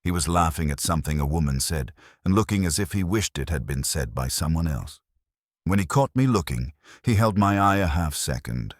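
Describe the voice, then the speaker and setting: A man reads hardboiled detective fiction in an extremely low voice. His intonation moves freely, with a wry edge to the storytelling. The sound is dry and close, with no room to it. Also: dry recording. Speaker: audiobook narrator. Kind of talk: scripted first-person detective fiction narration.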